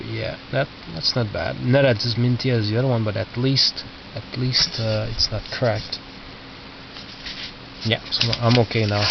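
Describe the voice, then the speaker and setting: A man giving casual, unscripted commentary as a record collector, halting and muttering asides to himself. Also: on the low side, gravelly. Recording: lo-fi, noisy, distant mic with clicks.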